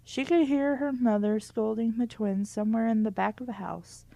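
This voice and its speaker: A woman's voice: a little high, moderate pace, gravelly, warm tone, dry recording, low-quality recording. Wry woman reading aloud, dry close sound. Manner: wry, candid asides. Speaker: host reading a children's book aloud and commenting. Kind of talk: casual read-aloud with commentary.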